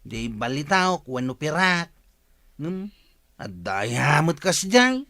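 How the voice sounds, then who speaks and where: Boastful village man, teasing, higher-pitched bright voice, dry close sound, radio comedy. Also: very animated, slow, no room sound, exaggerated voice. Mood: eager. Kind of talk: radio comedy drama acting.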